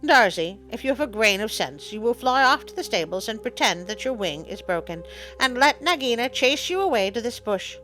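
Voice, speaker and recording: A woman's voice: a little high, sing-song, sharp tone, voice pushed up, low-quality recording. Casting: small, fierce male mongoose hero played by a woman, impatient storybook scolder, slightly raised voice, music underneath. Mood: exasperated, commanding. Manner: brisk, scolding.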